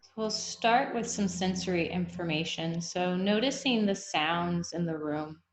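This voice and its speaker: Woman, meditation guide, very slow delivery, long pauses, lowish pitch, gentle instruction, roomy echo. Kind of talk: guided meditation, body scan. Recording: echoey room.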